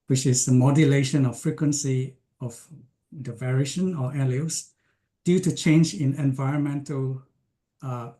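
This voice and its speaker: A man from Malaysia giving an online science lecture, speaking with frequent ums, pauses and restarts. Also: medium pitch, dark tone. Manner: hesitant, many ums and restarts.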